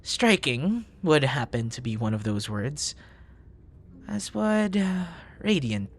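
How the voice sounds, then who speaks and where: Slow, soft-spoken bashful nerdy young man, acted out by a man. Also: a little high, big pitch swings, heavily acted. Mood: flustered, apologetic. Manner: flowery, formal wording, flustered and hesitant, often dropping into whispers. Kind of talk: intimate ASMR boyfriend roleplay.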